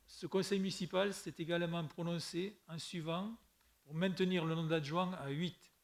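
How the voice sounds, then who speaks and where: A man presiding over a municipal council, his voice sitting a little high. He reads the agenda items in a brisk, formal way. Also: gravelly. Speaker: mayor chairing a council meeting. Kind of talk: chairing a meeting and reading agenda items.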